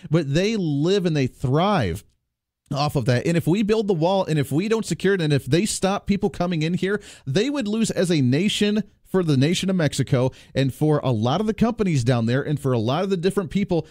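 A man's voice: a little high, darkish tone, no room sound. Man, radio talk host, emphatic, rallying, close studio mic, dry sound. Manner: emphatic, persuasive, rallying listeners. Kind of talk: political call-in radio talk. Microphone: close studio microphone.

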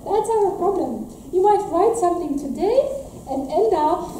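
A woman's voice: extremely high pitch, lively intonation, a little slow, warm tone, a little hiss or hum. A young woman from Romania answering audience questions from a lecture podium, earnest and full of restarts and fillers. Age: young adult. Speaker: graduate student presenter. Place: lecture room at a podium, indoors. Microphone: room mic, heard from a distance. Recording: echoey lecture room from far off.

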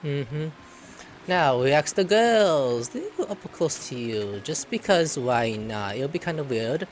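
A man's voice: fairly high pitch, expressive, measured pace, a little hiss or hum. Casual, joking male gaming streamer. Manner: joking, offhand. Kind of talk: casual gameplay commentary, reading choices aloud. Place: indoor room, webcam setup.

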